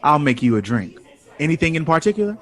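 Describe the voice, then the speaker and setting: A man playing a warm, witty jazz composer hosting a party, theatrical and affectionate, in an audio drama. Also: on the high side, very animated, fast talker. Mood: delighted, playful.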